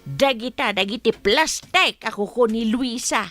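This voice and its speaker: A woman's voice: a little high, unhurried, fairly bright tone, soft background music. A woman playing a fretful older mother in an audio drama, speaking animatedly with an Ilocano accent. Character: older pensioner mother, plain-living and fretful. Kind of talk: Ilocano radio-style drama acting.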